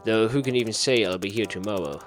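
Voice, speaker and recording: A man's voice: lowish voice, quick pace, faint music behind. A man giving a casual playthrough commentary, reading game text aloud and muttering to himself in repeated phrases. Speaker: gaming video host.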